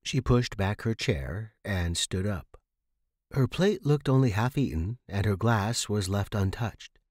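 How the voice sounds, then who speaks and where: A man's voice: on the low side, expressive, unhurried, darkish tone, no room sound, clean studio sound. A man reading a scripted first-person horror story as a podcast narrator.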